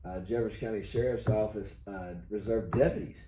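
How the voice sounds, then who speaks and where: A hoarse middle-aged man hosting a union video chat, folksy and rambling with many fillers. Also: on the low side, fairly quick, warm tone. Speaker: union local president, host. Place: union hall. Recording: echoey room, faint noise.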